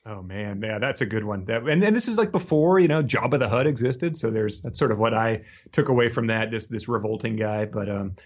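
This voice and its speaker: A man's voice: lowish voice, animated, fairly quick, few pauses, a little mellow. Wry male co-host, slightly muffled sound. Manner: wry, joking commentary. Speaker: podcast co-host. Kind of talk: casual book discussion.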